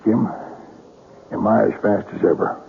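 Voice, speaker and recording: An actor playing a brooding, insecure western gunslinger in a radio drama, with a gravelly voice and a halting, insistent manner. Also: male voice, lowish voice, steady tone. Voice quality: gravelly, creaky. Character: brooding, insecure western gunslinger testing his fast draw. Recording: narrow tinny radio broadcast, faint hiss.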